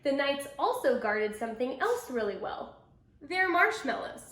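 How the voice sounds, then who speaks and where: A woman's voice: sits high, big pitch swings, measured pace, fairly bright tone. A woman reading a picture book aloud to children, telling it expressively and with emphasis. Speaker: storybook reader. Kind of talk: children's picture book read-aloud with asides to young listeners. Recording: echoey room.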